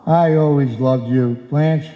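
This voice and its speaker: A man playing a blustering but sentimental husband in a live old-time radio comedy, with halting, emotional delivery. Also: a little high, steady tone, dull audio. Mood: tender. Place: indoor hall stage, live performance. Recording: echoey hall, live stage performance.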